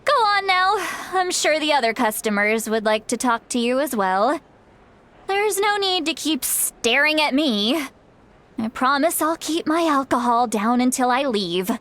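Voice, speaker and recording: Bright, high-pitched tipsy shaman granny. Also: expressive, a little slow. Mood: gruff teasing. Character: tipsy, grumpy young-looking shaman granny. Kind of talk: anime game voice acting.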